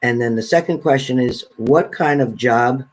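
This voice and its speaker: A man's voice: mid-range voice, normal speed. A man hosting a casual live question-and-answer stream, giving spirit readings and chatting with viewers.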